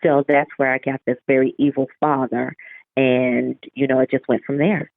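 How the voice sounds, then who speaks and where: A woman's voice: rumbling low, little pitch movement, normal speed, vocal fry, dry recording. A woman author giving reflective, explanatory answers in a conversational interview over a phone line. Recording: tinny, narrow phone-like line.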